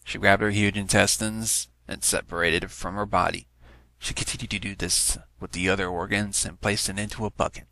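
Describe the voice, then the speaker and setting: A man's voice: on the low side, flat delivery, a little fast, creaky, sharp tone, no room sound, low-quality recording. A man narrating a written story, reading it aloud as scripted prose.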